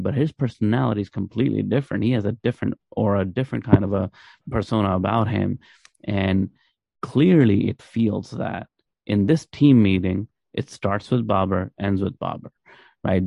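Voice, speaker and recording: A man with a low, gravelly voice talks casually as a sports podcast co-host. He explains his points conversationally, often posing questions. The audio is dry and somewhat dull. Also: dry recording. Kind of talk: casual cricket discussion.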